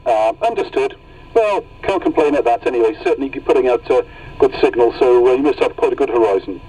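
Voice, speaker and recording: A man's voice: mid-range voice, lively intonation, fast talker, mellow, slightly rough. A man working as a CB radio operator, chatting casually over the air. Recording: noisy CB radio audio, distant mic.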